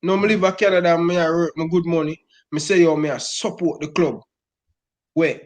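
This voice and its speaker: Middle-aged Jamaican Patois man, high voice, emphatic fan rant, keeps saying "brother.". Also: steady tone, a little fast. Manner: emphatic, repeats "brother" constantly. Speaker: football fan guest.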